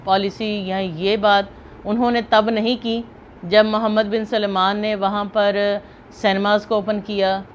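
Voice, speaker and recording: A woman's voice: mid-range voice, little pitch movement, unhurried. Pakistani woman commentator, muffled noisy recording. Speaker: video host and commentator. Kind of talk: unscripted opinion commentary to viewers. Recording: muffled lo-fi, clear background noise.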